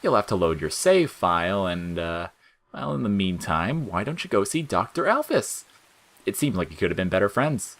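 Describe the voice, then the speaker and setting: A man's voice: low-pitched, sing-song, normal speed, fairly bright tone, heavily acted. A man voicing a cruel, mocking, childish talking flower in an audio fiction, jeering and wheedling by turns. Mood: falsely friendly, wheedling. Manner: jeering, cackling, swinging from sneers to wheedling. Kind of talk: dramatic audiobook character acting.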